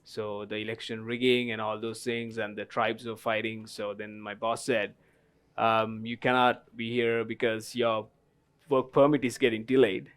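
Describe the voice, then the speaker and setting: Low-voiced, smooth man telling stories. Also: little pitch movement, moderate pace, a bit bright. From Sri Lanka. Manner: storytelling, many fillers, laughs at own story. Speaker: tea company guest. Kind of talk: festival panel talk. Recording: live festival stage talk.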